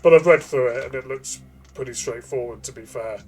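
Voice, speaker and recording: A man with a mid-range voice gives his take as co-host of a tabletop game review. He explains in a casual, conversational way. Soft music sits in the background. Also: mid-range voice, fairly quick. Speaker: co-host of a role-playing game review show.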